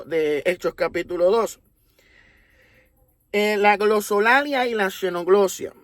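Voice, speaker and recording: Slow-spoken Puerto Rican man, high voice. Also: no room sound, studio quality. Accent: Puerto Rican Spanish. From Puerto Rico. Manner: deliberate, teacherly, with rhetorical tags. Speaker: religious commentator. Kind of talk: explanatory monologue.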